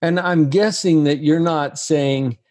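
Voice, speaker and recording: A man's voice: a little high, fairly even intonation, slow, a little mellow, no echo. Dry-voiced male interviewer. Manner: conversational, leads with long framing questions. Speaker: interviewer, host. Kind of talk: interview questions.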